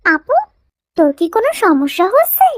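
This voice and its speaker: A woman's voice: very high voice, very animated, moderate pace, dry recording, studio quality. A woman voicing a caring, anxious young village sister who pleads and fusses in a cartoon story. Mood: worried, pleading.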